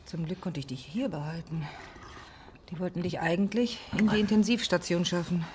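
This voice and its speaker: A woman's voice: fairly low pitch, fairly even intonation, moderate pace, natural voice. An actress playing a tough older former intelligence operative in a noir radio drama, firm and motherly. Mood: caring, dry. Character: tough, caring older female ex-intelligence operative nursing a detective. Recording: distant mic, noisy, with clicks.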